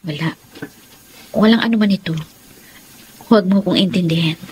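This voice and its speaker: A woman's voice: lowish voice, normal speed, darkish tone, a little hiss or hum, lightly acted. An actress playing a heartbroken, suspicious woman in an emotional Filipino romantic radio drama. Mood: guarded. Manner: emotional, wounded, dramatic delivery. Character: Melody, heartbroken suspicious woman, betrayed by her lover. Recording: studio drama with music underneath. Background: background music.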